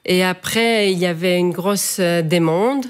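A woman from Greece with a Greek accent, a guest answering informally in halting, non-native phrasing. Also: fairly low pitch, smooth. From Crete, Greece. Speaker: food importer, interview guest.

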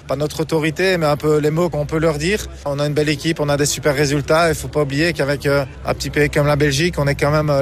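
A man's voice: on the high side, slight background noise. A man speaking as a team captain in an interview clip, earnest and reflective.

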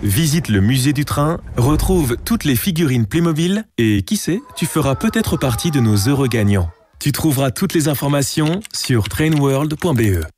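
A man's voice: medium pitch, expressive, moderate pace, a little mellow. A man reading a scripted radio ad, speaking straight to the listener. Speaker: ad announcer. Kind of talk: scripted ad voice-over.

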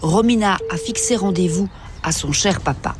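A woman reading documentary voice-over narration in a measured, descriptive way. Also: fairly low pitch.

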